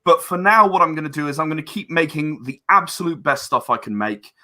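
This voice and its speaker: A man being interviewed in a casual chat, speaking earnestly and often haltingly, repeating words as he gathers his thoughts. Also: fairly high pitch, pushed and bright. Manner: earnest about creative work. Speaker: interview guest, game and audio drama creator.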